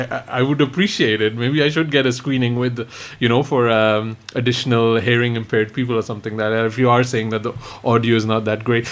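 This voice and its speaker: A man's voice: mid-range voice, a bit bright. A man from Belgium hosting a podcast, chatty and given to restarting his sentences. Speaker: podcast host. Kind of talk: casual podcast chat.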